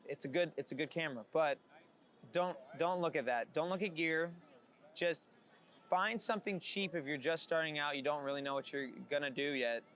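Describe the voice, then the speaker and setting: A man's voice: fairly high pitch, moderate pace, fairly bright tone. A man, a street photographer being interviewed, talking in a casual, candid way.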